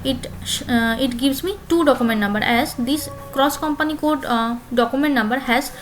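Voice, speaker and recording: High-pitched woman, halting tutorial delivery. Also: slight background noise, low-quality recording. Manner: halting, hesitant phrasing with frequent filler pauses; repeats phrases while explaining step by step. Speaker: software tutorial instructor. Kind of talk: spoken screen-share tutorial.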